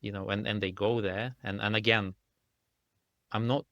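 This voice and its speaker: A man from Russia whose first language is Russian, speaking English in a thoughtful, halting way with many restarts as an indie game developer guest. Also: lowish voice, fairly even intonation, a bit bright, dry recording, highs cut off. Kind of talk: casual interview conversation. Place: lives in the UK.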